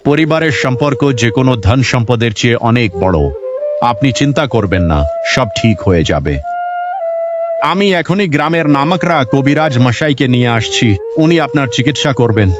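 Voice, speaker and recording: A man's voice: medium pitch, lively intonation, normal speed, close to an everyday voice. A man playing a humble, forgiving younger brother in a cartoon moral story, speaking earnestly and caringly. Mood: reassuring. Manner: earnest, reassuring. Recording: dull lo-fi sound, music behind.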